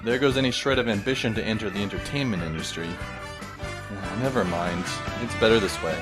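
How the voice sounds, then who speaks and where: A man's voice: on the low side, moderate pace, over music. A man narrating a story in the first person with a dry, sardonic manner.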